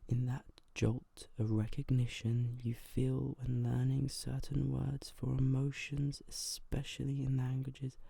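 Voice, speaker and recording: Soft whispery asmr host reading a book, real slow and gentle, kinda husky, right up on the mic. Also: medium pitch, monotone, dry recording. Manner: gentle, unhurried, hushed. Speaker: host of an ASMR video channel. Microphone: close microphone.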